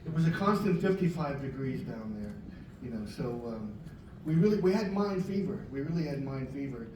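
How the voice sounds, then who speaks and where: Male panelist, humorous storyteller, slightly high voice, heavily echoed. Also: expressive, brisk. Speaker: actor on a convention panel. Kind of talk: anecdotes on a panel. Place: convention panel hall. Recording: very echoey hall, distant mic, loud noise.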